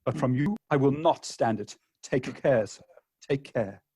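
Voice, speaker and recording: A man playing a wounded, sarcastic jilted gentleman in a comic radio play, with a pompous, declamatory delivery. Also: mid-range voice, unhurried, breathy edge, dry recording. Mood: indignant.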